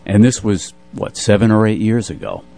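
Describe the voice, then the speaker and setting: Male radio co-host, fairly low pitch, relaxed anecdotal chat style. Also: moderate pace, creaky. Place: radio studio.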